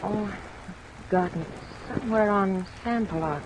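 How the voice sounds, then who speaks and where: Woman playing young office worker, medium pitch, earnest, courteous, helpful, old radio drama, noisy recording with rain. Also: normal speed, close to an everyday voice. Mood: helpful, polite. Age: 20s.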